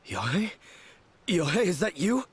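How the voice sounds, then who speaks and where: A man's voice: high voice, big pitch swings, a little slow, husky, heavily acted. A man voicing a timid young swordsman, shaken and earnest, in a video game.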